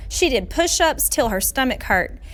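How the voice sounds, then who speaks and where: A woman reading a children's storybook aloud like a teacher, with expressive, deliberate, dramatic phrasing for young listeners. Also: sits high.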